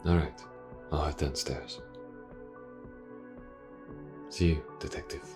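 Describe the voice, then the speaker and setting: A man voicing a calm, reserved police detective in an audio roleplay, speaking formally and with gentle reassurance to a wounded partner. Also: a bass voice, over music. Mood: dry, warm. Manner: measured, formal, gently reassuring, hesitant pauses. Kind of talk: audio roleplay, character acting.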